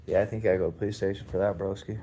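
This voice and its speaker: A man with a deep, low voice rambles defiantly to his viewers during a livestream from a public building. His tone is dark and mellow, and faint ambience hums behind him. He speaks on a phone mic. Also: flat delivery, racing, a little hiss or hum. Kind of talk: casual live commentary.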